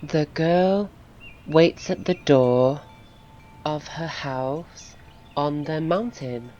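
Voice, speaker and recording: An actor playing a thoughtful person who feels out phrases in a halting, deliberate way in an audio drama. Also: medium pitch, darkish tone. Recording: muffled, dull sound.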